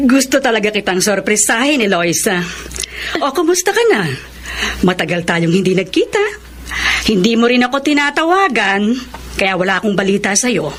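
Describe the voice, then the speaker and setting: A middle-aged, genteel aunt in a radio drama. She is expressive and affectionate, her high voice rising and falling freely, with English phrases dropped in. The recording has faint noise. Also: normal speed. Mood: cheerful. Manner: effusive, mixes in English phrases.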